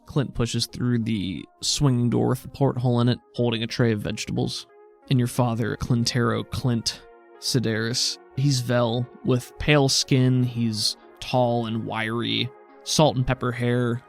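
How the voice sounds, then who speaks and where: Creaky-voiced man narrating a tabletop game. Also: mid-range voice. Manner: measured, descriptive, pausing between phrases. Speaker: game master.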